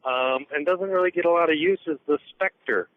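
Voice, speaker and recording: A man calling in to a podcast with an earnest, steady voicemail request. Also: fairly high pitch, normal speed, no echo. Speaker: caller. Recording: tinny phone line.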